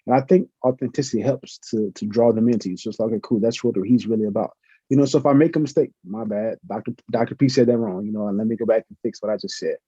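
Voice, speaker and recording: Fast-talking dark-voiced man. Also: lowish voice, slightly rough, no room sound. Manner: earnest, reflective, uses many fillers. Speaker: professor and podcast guest. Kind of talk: conversational interview answers. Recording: muffled lo-fi audio.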